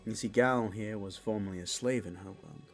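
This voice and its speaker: A man's voice: on the low side, brisk, natural voice. A man playing a cheeky, pompous raccoon lord of mischief in a fantasy audio drama, teasing and dry. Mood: wry. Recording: background music under the voice.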